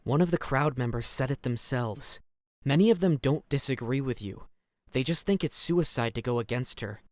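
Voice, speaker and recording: An actor playing a calm, courteous, measured male mentor in a fantasy audio drama. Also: mid-range voice, no echo, natural voice. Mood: reasoning. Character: Nobu, calm, polite, insightful male mentor.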